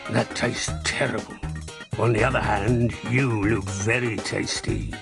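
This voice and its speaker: Hungry, grumpy storybook wolf, low and growly, acted by a male narrator, music underneath. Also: fairly even intonation, a little slow, gravelly. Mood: disgusted, greedy. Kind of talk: children's storybook app character. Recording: music behind the voice.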